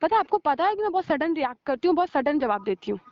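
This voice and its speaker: A woman's voice: sits high, very animated, fast, no echo. A woman arguing heatedly with a partner on a recorded phone call, scolding and sarcastic, slipping English words into her talk. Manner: mixes in English words and phrases.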